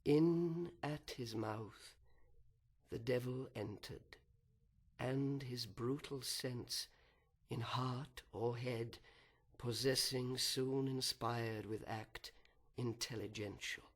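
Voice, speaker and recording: Guy narrating epic poetry, very slow and solemn, mid pitch, lots of deliberate pauses. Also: fairly even intonation. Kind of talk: dramatic literary verse narration.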